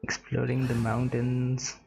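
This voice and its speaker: A man with a creaky voice giving casual gameplay commentary as a streamer. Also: mid-range voice, monotone, measured pace, low-quality recording. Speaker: game streamer. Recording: game music behind.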